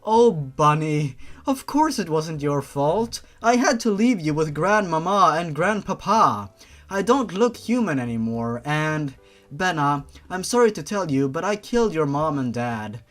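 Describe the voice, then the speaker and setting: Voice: mid-range voice, very animated, a little slow. A narrator voicing a protective, emotional older sister with an earnest manner in a read-aloud story. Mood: apologetic. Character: protective older sister, emotional teenage heroine of horror romance.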